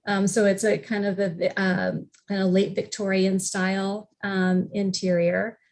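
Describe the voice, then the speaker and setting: A woman's voice: mid-range voice, little pitch movement, unhurried, a little mellow. A woman on a panel informally explaining history as a library representative, with very frequent hesitations as she goes.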